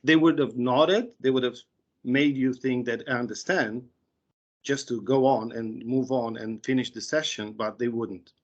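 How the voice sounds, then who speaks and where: A man in his thirties with a Romanian accent, an interpreter speaking hesitantly on a video call. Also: medium pitch. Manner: hesitant, many fillers and restarts. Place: small room indoors. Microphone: video call webcam. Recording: dull lo-fi video call audio.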